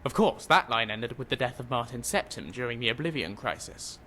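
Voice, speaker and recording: A man voicing an eager, skeptical scholar in a fantasy game, explaining things in an animated, didactic way. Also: medium pitch, brisk, a little hiss or hum. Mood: lecturing, wry. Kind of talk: fantasy video game dialogue.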